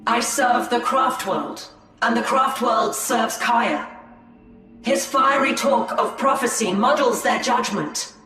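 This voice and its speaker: An actress playing a proud, guarded alien seer and assassin in a game, speaking in a measured, formal, cool way. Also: female voice, fairly high pitch. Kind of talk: dramatic game cinematic acting. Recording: echoey hall, music behind.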